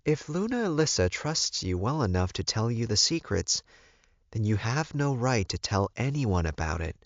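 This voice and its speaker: Calm older she-wolf mother played by a male reader, pitched up, measured and firm, audiobook, slightly dull sound. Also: no echo. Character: werewolf mother, older woman, calm, firm, counseling her son. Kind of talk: audiobook character dialogue.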